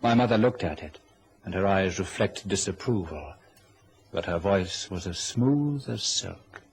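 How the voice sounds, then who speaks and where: A man's voice: low voice, lively intonation, unhurried, gravelly, heavily acted. A young man playing an anxious, obedient son cowed by his mother, hesitant and uneasy, in a radio drama. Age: young adult. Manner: hesitant, stammering protests. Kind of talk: radio drama acting and narration.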